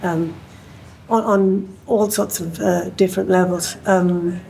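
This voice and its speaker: A woman, speaking on a panel in a thoughtful way, with many pauses and fillers. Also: on the low side, fairly even intonation, vocal fry, warm tone, slight background noise, poor audio. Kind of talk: panel discussion.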